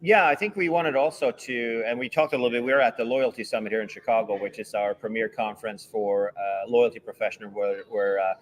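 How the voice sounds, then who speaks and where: A man co-hosting a casual travel news chat over a video call, talking haltingly with many fillers. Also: on the low side. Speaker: co-host.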